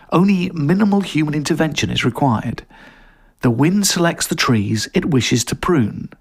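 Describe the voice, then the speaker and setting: A man's voice: medium pitch, breathy edge. A man reading a scripted audio story as narrator.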